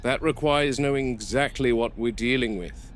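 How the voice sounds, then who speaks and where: A man performs a stern game warden in a found-footage horror drama. He is blunt, dry and sparing with words, in a medium-pitched male voice. Faint tape noise sits over the recording. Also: normal speed, natural voice. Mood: firm, grim. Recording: noisy degraded VHS tape sound.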